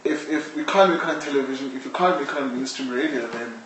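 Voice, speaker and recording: A man's voice: mid-range voice, quick pace. A man with a South African accent explaining things as a guest in a live interview. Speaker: interviewee, audio drama maker. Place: echoey indoor space, live-streamed discussion. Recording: very echoey room, distant mic, faint noise.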